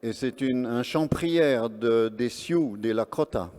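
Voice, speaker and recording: A man's voice: mid-range voice, takes it slowly, darkish tone. A man presenting and interpreting live at an event on stage, speaking earnestly phrase by phrase. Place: large event hall on stage. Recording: long reverb of a large hall.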